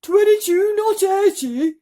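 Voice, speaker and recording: A woman doing a shrill, prim earthworm. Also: lively intonation, moderate pace, warm tone. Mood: indignant. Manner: prim and indignant.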